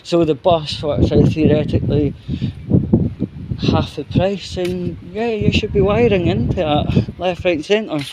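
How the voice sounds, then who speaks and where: A Scottish man giving an unscripted opinion monologue as a vlogger, in halting, broken phrases with repetition. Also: high voice, very noisy.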